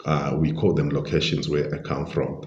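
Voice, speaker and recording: A middle-aged man with a Zimbabwean accent, giving measured, genial, explanatory answers as an interview guest. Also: a bass voice, level tone, darkish tone, a little hiss or hum. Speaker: podcast guest, leadership coach and pastor. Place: restaurant. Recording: dull, roomy, echoing sound.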